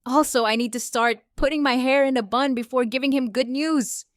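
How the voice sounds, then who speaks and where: A woman voicing a brash, sharp-tongued mother in a comic dub, blunt and sardonic. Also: high voice, steady tone, fairly quick, no echo, studio quality, natural voice. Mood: wry.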